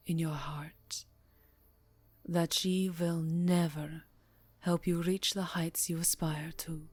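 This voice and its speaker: A woman playing a seductive, aristocratic vampire countess in an ASMR roleplay, teasing and formal, with a breathy voice that often drops to a whisper. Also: fairly low pitch, darkish tone. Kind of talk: ASMR roleplay, slow intimate acting. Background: music.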